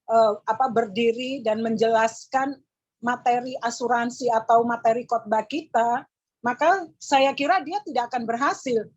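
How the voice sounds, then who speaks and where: A woman's voice: fairly high pitch, no room sound, lo-fi sound. An Indonesian woman with an East Javanese accent teaches public speaking to a group of women over a video call, going through numbered tips and addressing them as ladies; her throat is tired and strained. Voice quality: husky. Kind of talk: teaching an online class for women.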